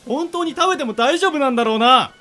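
A man's voice: very high voice, lively intonation, sharp tone, exaggerated voice. A man playing a panicky young man who protests and exclaims, in a comedy radio drama. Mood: wary. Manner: exclaiming, quick to object. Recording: background music under the voice.

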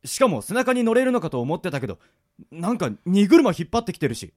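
A man's voice: high-pitched, very animated, fast talker, darkish tone, no echo, clean studio sound. A man in an amateur radio drama playing a casual, bewildered young man summoned to another world. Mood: doubtful. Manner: casual, reacting in puzzlement.

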